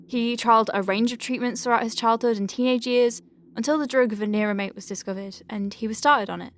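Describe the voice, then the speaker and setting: An actress playing an earnest, awkward young clinic doctor in an audio drama, speaking hesitantly and naturally with self-corrections. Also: female voice, medium pitch, slight background noise, lightly acted. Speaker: doctor dictating patient letters.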